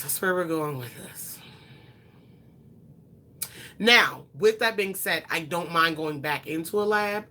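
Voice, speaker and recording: Chatty low-voiced woman in her twenties. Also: sharp tone. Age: 20s. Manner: chatty, rambling, with frequent ums and self-corrections. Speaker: beauty vlogger. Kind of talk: casual chat answering viewer questions.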